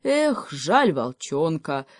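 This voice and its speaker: A woman's voice: low voice, big pitch swings, drawn-out words, no room sound, exaggerated voice. A woman voicing a mournful male moose in a children's story, lamenting in a funereal tone. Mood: mock mourning.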